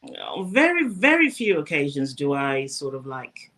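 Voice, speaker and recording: A woman, a former news presenter talking through her career at a thoughtful, measured pace in a casual interview. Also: low voice, big pitch swings, fairly bright tone. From Zimbabwe.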